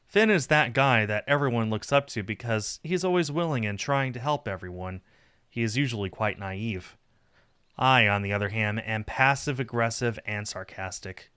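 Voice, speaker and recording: A man reading a visual novel game aloud as a streamer, with expressive narration and casual asides. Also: lowish voice, moderate pace, smooth, no echo, clean studio sound.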